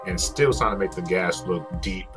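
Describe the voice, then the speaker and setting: A man's voice: low-pitched, moderate pace. A man narrating a craft tutorial in a casual, rambling way, swearing freely. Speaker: host, prop maker. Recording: music behind the voice.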